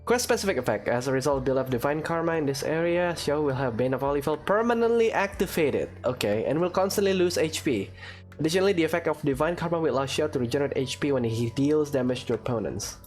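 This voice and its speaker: A woman's voice: low-pitched, animated, quick pace, a little mellow, faint music behind. A woman playing a video game and commenting casually, with wry, informal reactions. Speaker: gamer, let's player.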